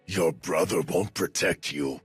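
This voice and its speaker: An actor playing an arrogant, menacing sci-fi raider enforcer who taunts and intimidates in a rough voice, as in a video game cutscene. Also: male voice, sits low, measured pace, dry recording, faint music behind, heavily acted. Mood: angry threats. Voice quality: gravelly, rough.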